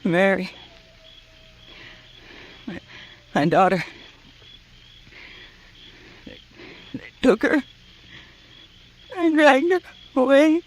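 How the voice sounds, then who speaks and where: An actress playing a distraught, wounded frontier mother in an old radio western, weak, halting and anguished. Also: high-pitched, sing-song, heavily acted. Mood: dazed, anguished. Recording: vintage radio recording.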